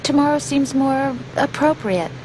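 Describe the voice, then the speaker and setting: A woman's voice: high-pitched, lively intonation, measured pace, noisy background. An actress playing a supportive young female mission analyst in a video game cutscene. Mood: concerned, caring.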